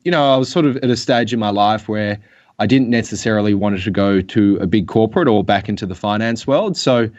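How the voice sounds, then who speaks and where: A man with an Australian accent, a guest on a business interview calling in remotely, talking in long relaxed runs with many fillers. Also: lowish voice, a little fast, dull audio. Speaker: guest, acquisitions executive. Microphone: remote call.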